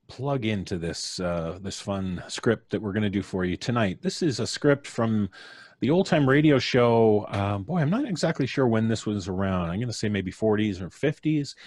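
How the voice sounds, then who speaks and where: Low-voiced North American man hosting. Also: lively intonation, normal speed, a little mellow, no echo, studio quality. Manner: jovial, playfully flowery. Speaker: host and showrunner of a storytelling podcast. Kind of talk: casual live-stream introductions. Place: at home, on a live video stream.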